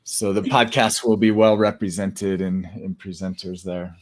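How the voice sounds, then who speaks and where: A man hosting a tech podcast, giving upbeat shout-outs in a relaxed, casual way with fillers. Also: deep voice, unhurried. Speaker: podcast host.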